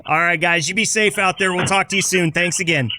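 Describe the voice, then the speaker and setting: A man's voice: high voice, steady tone, brisk, bright, forceful tone. An American man hosting a casual podcast interview in a chatty, informal and affirming way. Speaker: podcast host.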